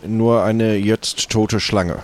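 A man with a fairly low voice joins an improvised role-play game. His delivery is casual, dry and understated. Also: little pitch movement, drawn-out words. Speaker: player in an adventure game.

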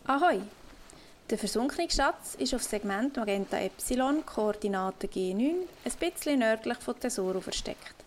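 Audio-play pirate note reader, acted by a woman: highish voice, hearty and deliberate, over noisy ambience. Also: lively intonation, lightly acted. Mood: hearty, instructive. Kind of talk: scripted message in an improvised audio play.

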